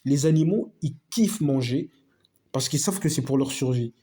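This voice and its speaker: A man's voice: mid-range voice, moderate pace, dark tone, husky. A man giving a casual opinion monologue to his viewers, emphatic and repetitive, full of slang and asides to his friends. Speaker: video host.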